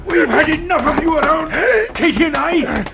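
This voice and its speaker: An actor playing a hot-tempered, sanctimonious rogue in an old radio drama, with a rough, gravelly voice. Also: male voice, extremely high pitch, moderate pace, very noisy. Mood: threatening. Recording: muffled vintage radio recording.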